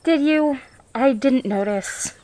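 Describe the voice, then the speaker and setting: A woman voices an irritable, haughty businesswoman in a visual novel. She is sardonic and curt, pitched on the high side. The recording is very dry. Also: slow, warm tone, no echo. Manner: sardonic, dismissive. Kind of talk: visual novel fan dub.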